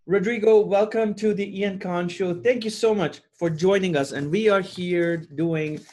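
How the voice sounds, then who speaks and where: Upbeat guy hosting an interview podcast, fairly high voice, faint music behind. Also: a little slow, a little mellow. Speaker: podcast host.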